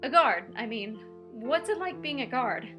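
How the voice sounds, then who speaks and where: A woman acts out a teenage outcast girl creature in an audio fantasy story. Her voice sits high, eager yet timid, and she hesitates as she talks. Music plays behind her. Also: measured pace, dull audio. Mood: nervous, hesitant. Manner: hesitant, stammering, excitable. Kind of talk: audio story character dialogue.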